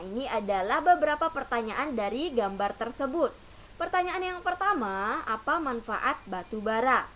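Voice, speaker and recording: A woman teacher giving a patient lesson to young children, often opening sentences with "nah". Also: high voice. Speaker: primary school teacher. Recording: faint hiss.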